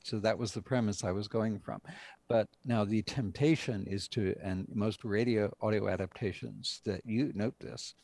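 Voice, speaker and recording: An older man, a writer and director, deliberately explaining his work with emphasis in an interview over a video call. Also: lowish voice, steady tone, a little mellow, no room sound. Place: indoor room on a video call.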